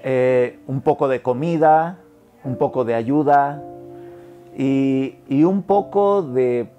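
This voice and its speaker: A middle-aged man speaking calmly and reflectively in a documentary interview, recorded on a lapel mic indoors. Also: medium pitch, slow pace, lo-fi sound. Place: indoor shelter room. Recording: soft guitar music behind.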